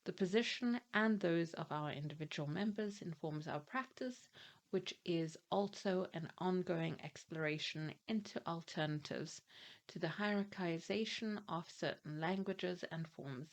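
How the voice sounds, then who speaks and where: A woman's voice: fairly low pitch, unhurried. A middle-aged woman who grew up in Malawi, Nigeria and Germany, presenting her reading plans on her book channel, reading blurbs steadily and adding chatty asides with fillers.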